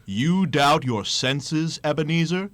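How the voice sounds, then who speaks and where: A man's voice: on the high side, animated, slow pace, a bit bright, no room sound. A man playing the mournful, commanding ghost of a dead businessman in a radio drama, solemn and declamatory, drawing out his words. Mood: ominous.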